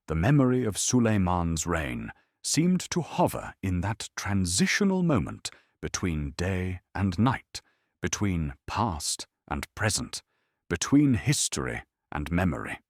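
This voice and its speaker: A man reading a calm, scripted historical bedtime story in a soothing, solemn, measured way. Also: sits low, dry recording, studio quality. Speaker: narrator.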